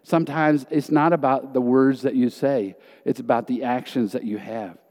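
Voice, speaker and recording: A man's voice: medium pitch, steady tone, normal speed, a little mellow. A man giving an earnest, emphatic testimony in a church hall, telling stories from his missionary teaching. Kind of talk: sermon testimony. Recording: reverberant hall.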